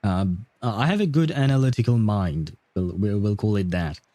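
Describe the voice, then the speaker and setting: A man's voice: lowish voice, moderate pace, mellow, no room sound. A man hosting a casual gaming livestream, chatting with viewers in a rambling, hesitant way. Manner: hesitant, rambling, chuckling often. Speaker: livestream host.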